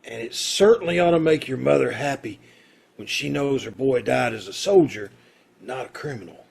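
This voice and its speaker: A man acting a kindly, earnest condemned prisoner in an old-time radio play, with hesitant, folksy phrasing and a gravelly, husky voice. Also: medium pitch, lively intonation, a little mellow, full character voice. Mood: earnest, insistent. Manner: hesitant, halting, folksy and informal.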